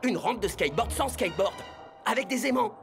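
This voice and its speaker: A man playing an excitable young skateboarding soccer player with slangy, exclamatory talk in a French cartoon dub. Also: high-pitched, fairly quick. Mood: confessing. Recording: loud music behind the voice.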